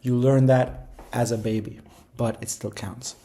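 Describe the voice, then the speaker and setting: A man's voice: medium pitch, little pitch movement, a little slow, warm tone. A man giving casual, rambling how-to advice, speaking informally and emphatically and often repeating phrases for stress. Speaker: language-learning video creator.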